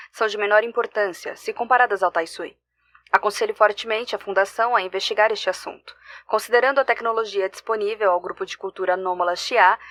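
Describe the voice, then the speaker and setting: A woman's voice: medium pitch, a bit bright, no room sound, close to an everyday voice. A woman voicing a senior history researcher, reading scripted research notes in a formal, analytical manner. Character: female senior history researcher, scholarly and measured. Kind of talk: scripted report narration.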